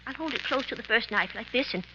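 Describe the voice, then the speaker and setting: An actress playing a prim, excitable, sharp-tongued spinster sidekick in a 1950s radio mystery, with flustered, expressive delivery. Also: female voice, on the high side, fast. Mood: uneasy. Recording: muffled, noisy 1950s radio recording.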